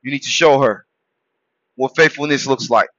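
A man born in Haiti hosting a podcast, delivering a preachy motivational monologue that swings between loud shouted exhortations and low, quiet murmurs. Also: normal speed, no echo, dull audio. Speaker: podcast host.

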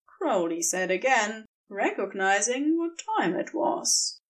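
A woman narrating scripted fiction in an expressive, dramatic storytelling manner. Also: mid-range voice, slow pace.

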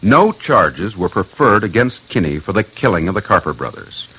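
A man's voice: low voice, very animated, normal speed, pushed and bright, breathy edge, rough recording. A man presenting grave, scripted documentary narration.

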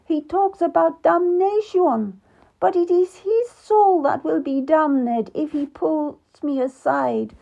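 A woman's voice: sits high, sing-song, warm tone, dull audio, voice pushed up, exaggerated voice. Woman voicing a proud, bitter queen. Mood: grieving. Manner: slow, measured and formal. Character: proud wronged middle-aged queen, dignified and bitter. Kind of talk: audiobook character reading.

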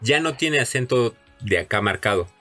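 A man's voice: mid-range voice, expressive, moderate pace, bright, forceful tone, soft background music. A man co-hosting a podcast, throwing in dry, wisecracking remarks during casual chat. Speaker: podcast co-host.

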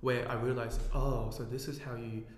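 A man's voice: mid-range voice, little pitch movement, moderate pace. Man who rambles and gropes for words, reverb-heavy room. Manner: rambling, searches for words, many "like"s. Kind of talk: casual chat. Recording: echoey room with long reverb.

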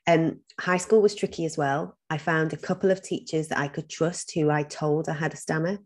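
A woman's voice: deep voice, steady tone, moderate pace, a little mellow. A woman, talking earnestly in a podcast interview, with frequent ums and some repeated words. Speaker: podcast guest. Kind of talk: reflective interview talk.

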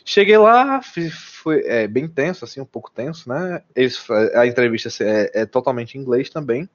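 A man's voice: medium pitch, expressive, moderate pace. Brazilian guy chatting loose with friends, lots of restarts, kinda muffled lo-fi audio. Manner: rambling, many restarts and slang. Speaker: guest telling about living abroad. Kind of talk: casual chat.

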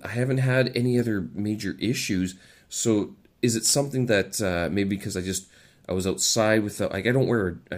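Low-voiced congested man. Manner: chatty, hesitant, with many fillers and restarts. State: stuffy nose from a head cold. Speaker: vlogger. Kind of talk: casual rambling monologue. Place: living in China.

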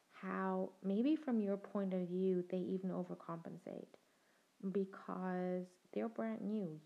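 A woman's voice: medium pitch, gravelly. A young adult woman hosting a podcast, telling her own story in a reflective, conversational way and laughing now and then. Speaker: podcast host. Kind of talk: personal storytelling monologue. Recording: dull lo-fi sound.

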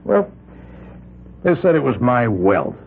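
A man's voice: medium pitch, sing-song, slow pace, creaky, heavily acted. An actor playing a wealthy older island patriarch in a 1970s radio drama, rambling and stammering through his excuses. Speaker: island community leader. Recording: tinny lo-fi 1970s radio broadcast.

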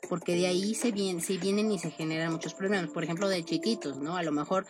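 A woman's voice: fairly low pitch, quick pace. Chatty woman over music. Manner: chatty, tags most sentences with a questioning "no?". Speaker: podcast host. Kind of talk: casual monologue. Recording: music playing under the voice.

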